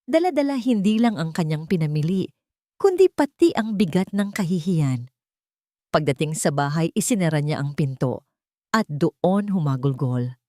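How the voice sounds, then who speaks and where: A woman with a fairly low voice narrates a scripted love story. Her delivery is emotive and deliberately phrased, and the recording is close and dry, with hardly any room in it. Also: expressive, mellow, no room sound.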